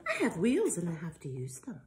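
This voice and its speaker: Woman voicing a cheerful, animated farm tractor. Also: lowish voice, a little fast, pitched below the performer's own voice. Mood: apologetic.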